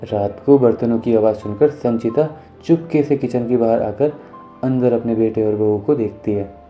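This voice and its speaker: A man narrating an animated moral story in a scripted storytelling style. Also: mid-range voice, normal speed, highs cut off. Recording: music under the voice.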